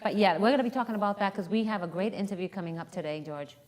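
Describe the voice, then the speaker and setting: A woman's voice: medium pitch, rapid-fire. Bubbly woman co-host, slight New York accent. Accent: slight northern New York with Spanish touches. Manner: bubbly, chatty, laughs easily. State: tired, up since early morning. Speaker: talk show co-host. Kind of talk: casual studio chat. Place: TV studio.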